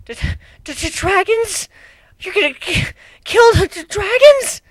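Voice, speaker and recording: A man's voice: very high-pitched, animated, measured pace, a bit bright, dry recording, pitched above the performer's own voice, exaggerated voice. A man voicing a timid, frightened young female griffin who stammers and trembles as she talks. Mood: terrified.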